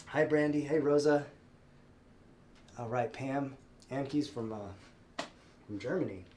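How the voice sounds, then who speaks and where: A man's voice: mid-range voice, slow pace, background noise. Stuffed-up man hosting a live sale. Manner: friendly, rambling, many fillers and restarts. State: stuffed up with a cold. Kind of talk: casual live-stream chat.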